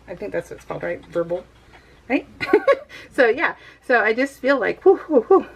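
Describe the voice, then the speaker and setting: A woman's voice: fairly high pitch, sing-song, moderate pace, gravelly, husky. A middle-aged American woman rambling casually as a craft video host, giggly and self-deprecating.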